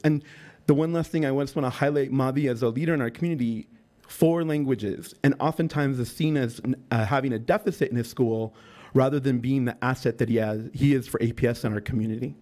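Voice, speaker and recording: A man's voice: medium pitch, dark tone. A middle-aged man, the director of a nonprofit, gives an earnest off-the-cuff public comment with fillers at a podium microphone in a large council chamber.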